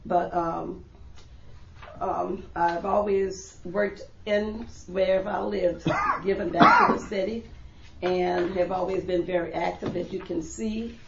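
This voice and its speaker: A middle-aged woman from New Orleans introduces herself at a civic meeting, earnest and measured. Her voice is gravelly and somewhat low. She sounds roomy and slightly muffled. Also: background noise. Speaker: commission applicant. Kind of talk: self-introduction at a public meeting. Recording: echoey room, dull lo-fi sound.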